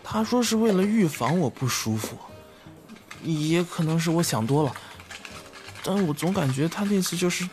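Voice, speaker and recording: A man acting a flustered young newlywed in an audio drama, halting and embarrassed as he confides in a friend. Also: fairly high pitch, lively intonation, darkish tone, faint music behind, close to an everyday voice. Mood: hesitant confiding.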